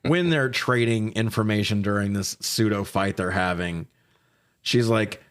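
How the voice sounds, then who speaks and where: A man's voice: on the low side, unhurried, smooth. Sweary, jokey man recapping a film. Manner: sweary, jokey, mimics characters' lines. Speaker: podcast co-host. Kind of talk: casual comedic film recap.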